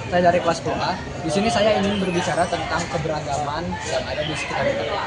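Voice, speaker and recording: A man's voice: on the high side, fairly even intonation, fast. A teenage boy presenting a school task, reading a prepared introduction earnestly and sometimes stumbling. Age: teens. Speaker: student presenter. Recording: loud crowd and noise behind.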